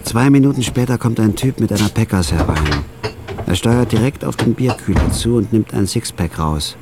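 A man narrating a radio drama in the first person as a store clerk, in a flat, laconic, hard-boiled way. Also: fairly low pitch, moderate pace, noisy background.

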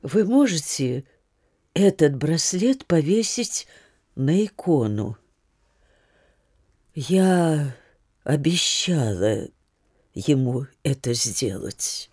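An actress playing a talkative, deferential landlady in an audio drama, with a halting, rambling way of telling things. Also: low voice, big pitch swings, warm tone, breathy edge, no room sound, studio quality, full character voice. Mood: wistful, remembering. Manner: halting, rambling, repetitive storytelling. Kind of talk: Russian literary audio drama acting.

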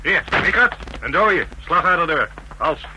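Piercing, husky, rough policeman. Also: fairly high pitch, lively intonation, measured pace, sharp tone, heavily acted, rough recording. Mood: businesslike, rough. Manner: terse, snarling, bossy. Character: rough, contemptuous policeman rounding up suspects. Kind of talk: radio play acting.

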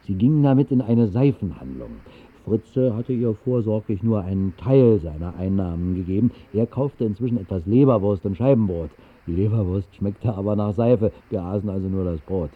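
An actor narrating a radio drama as a wistful storyteller, measured and deadpan in a literary style. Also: male voice, fairly low pitch, natural voice. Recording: muffled band-limited old radio recording.

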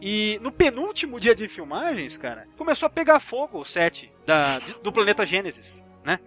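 A Brazilian man with a very lively, high voice hosts a casual film discussion. He rambles in slangy, sweary speech with a bright, forward tone. A little music plays behind him. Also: moderate pace. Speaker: podcast host. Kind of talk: casual film discussion and anecdotes among friends.

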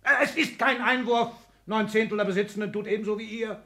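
Imperious old patriarch, high-pitched. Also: male voice, steady tone, a little fast, bright, forceful tone. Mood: angry outburst. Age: older. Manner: imperious, clipped, declamatory, with sudden outbursts. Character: ailing, domineering old industrialist patriarch lecturing his children. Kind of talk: 1960s German radio play acting.

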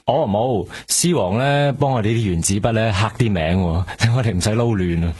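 A low male voice with a husky edge, as a young junior detective in a radio drama. He speaks casually and inquisitively, with a Hong Kong Cantonese accent. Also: moderate pace, a little mellow. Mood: deferential, hesitant.

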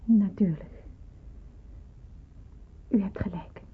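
An actress playing an anxious, questioning young nurse in a Dutch wartime radio play, speaking hesitantly and deferentially. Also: female voice, mid-range voice, takes it slowly, husky. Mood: resigned, obedient. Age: young adult. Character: anxious, questioning seventeen-year-old nurse in wartime hiding. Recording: muffled, phone-like old radio recording.

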